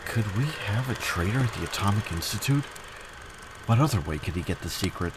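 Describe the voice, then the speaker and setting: An actor playing an earnest, principled young scientist hero with melodramatic delivery in an audio drama. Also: male voice, fairly low pitch, expressive, a little fast, a little hiss or hum, close to an everyday voice. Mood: indignant.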